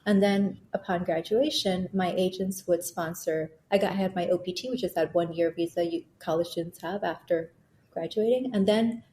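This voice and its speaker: A woman's voice: on the low side, normal speed, warm tone, slight background noise. A woman in her thirties from Bangladesh, raised in Thailand, speaking earnestly and reflectively as a guest in a conversation. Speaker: guest author. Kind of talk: casual conversation.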